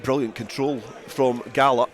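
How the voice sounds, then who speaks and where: A man calls a rugby match live for radio. He is the play-by-play commentator, working outdoors at the ground with a mid-pitched voice. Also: fairly even intonation, moderate pace, a faint crowd.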